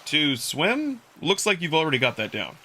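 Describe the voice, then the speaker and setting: A man's voice: on the high side, huge pitch swings, normal speed, pushed and bright, slightly rough, voice pushed up, exaggerated voice. A man playing a wry, easygoing young human in a visual novel, talking casually and with some self-deprecation. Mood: bemused. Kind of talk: visual novel character acting.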